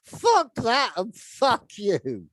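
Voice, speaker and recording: A man's voice: very high-pitched, wildly swooping pitch, drawn-out words, a bit bright, dry recording, pitched above the performer's own voice, exaggerated voice. A man reading the part of an indignant, foul-mouthed mercenary with a conscience in a storybook, blunt and emphatic.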